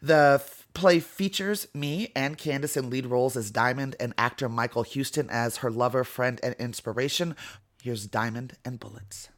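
A man's voice: mid-range voice, moderate pace. A man speaking as a radio host, reading a scripted introduction to an audio play.

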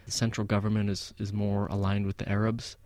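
A man of Palestinian background, a guest in an interview, telling stories in a measured, reflective way with frequent fillers. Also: on the low side, little pitch movement, a little mellow, no room sound. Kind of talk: conversational interview.

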